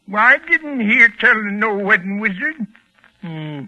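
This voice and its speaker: An actor playing a nosy, prodding villager with a rural New England accent in a 1940s radio drama. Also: male voice, high-pitched, big pitch swings, takes it slowly, bright, forceful tone, dry recording, exaggerated voice. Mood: prying. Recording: dull vintage 1940s radio recording.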